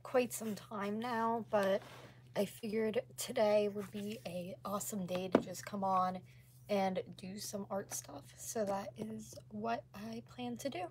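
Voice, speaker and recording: A woman in her twenties with a slightly hoarse voice, chatting casually as she livestreams crafts from home. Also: medium pitch, fairly even intonation, unhurried. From North America. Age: 20s. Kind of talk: casual chat while painting. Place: small room at home, indoors. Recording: clearly noisy home livestream recording.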